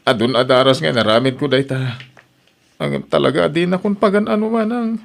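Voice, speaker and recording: A man's voice: on the high side, normal speed, gravelly. A man playing a remorseful young husband in a radio-style melodrama, speaking in a pleading, emotive manner. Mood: dejected, pleading.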